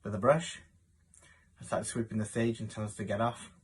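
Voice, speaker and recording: A man's voice: on the low side, fairly even intonation, a little fast, husky. A man who performs in pantomime, telling a casual anecdote.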